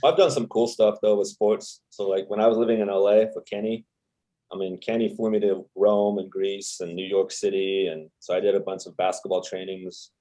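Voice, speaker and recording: A man's voice: on the low side, steady tone, normal speed, warm tone, rough recording. A young man, a podcast guest, telling his story in a rambling, casual way with lots of fillers. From El Salvador. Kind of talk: casual chat.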